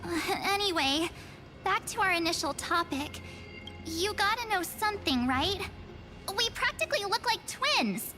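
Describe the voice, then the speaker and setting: A woman voicing an earnest, perky young heroine in a video game, with an expressive, girlish delivery. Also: very high-pitched, measured pace. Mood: pleading, perky. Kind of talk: scripted video game acting. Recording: music behind the voice.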